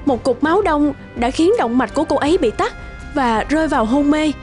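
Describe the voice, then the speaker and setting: A woman's voice: sits high, expressive, brisk, darkish tone, soft background music. A woman playing a concerned, earnest hospital medic in a dubbed cartoon drama. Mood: grave, calming.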